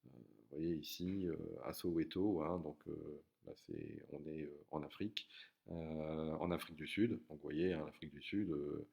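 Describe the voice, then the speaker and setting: A man's voice: extremely low pitch, steady tone, unhurried, vocal fry, slightly rough, dull audio. A man teaching a recorded geography lesson, hesitant, with many fillers and restarts.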